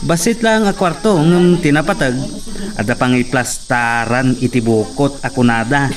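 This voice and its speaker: A man's voice: medium pitch, moderate pace, natural voice. A man playing a friendly city coworker who coaxes a younger man to come along, in an amateur drama. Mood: coaxing. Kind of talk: amateur audio drama acting. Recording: distant mic with background noise.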